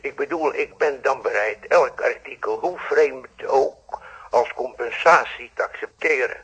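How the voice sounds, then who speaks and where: An actor with a Netherlands Dutch accent playing a coolly insistent, blackmailing moneylender in a radio play, measured and falsely polite. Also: male voice, medium pitch, a bit bright, breathy edge. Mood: coolly insinuating. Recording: dull, band-limited old radio play.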